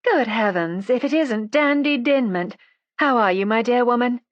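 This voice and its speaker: A woman's voice: on the high side, normal speed, a bit bright, no room sound, dull audio, pitched above the performer's own voice, lightly acted. A woman voicing a breezy upper-class lady in a storybook, with a pleasant, emphatic, teasing drawl. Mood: breezy, delighted.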